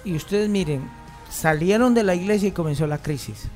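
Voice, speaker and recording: Emphatic Colombian pastor preaching. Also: male voice, high-pitched, brisk, a little mellow, soft background music. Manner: emphatic, asks the congregation questions. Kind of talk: bilingual church sermon, preached in Spanish. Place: church.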